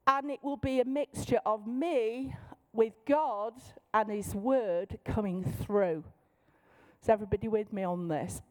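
Higher-voiced Mancunian woman teaching a workshop. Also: unhurried. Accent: Manchester. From Manchester, England. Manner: light-hearted, down-to-earth, checks in with listeners. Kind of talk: informal teaching talk to a group.

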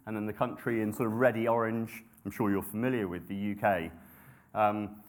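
A man's voice: lowish voice, fairly even intonation, brisk. A young adult man giving a sermon in a conversational, self-deprecating way, with frequent ums and restarts. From grew up in Nepal and the UK. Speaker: preacher.

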